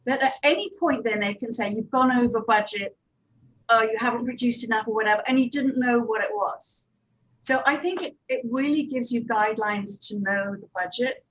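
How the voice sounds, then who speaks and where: Higher-voiced woman, practical panel speaker. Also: moderate pace, fairly bright tone, low-quality recording. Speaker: panel speaker, audio drama producer. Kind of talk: conference panel presentation.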